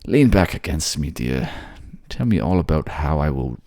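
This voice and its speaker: Man playing a deep, silky manipulative captor. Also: very animated, moderate pace, vocal fry, darkish tone, no room sound, clean studio sound, exaggerated voice. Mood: tender teasing. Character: suave manipulative male water-folk ruler, soothing captor. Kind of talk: intimate ASMR audio drama acting.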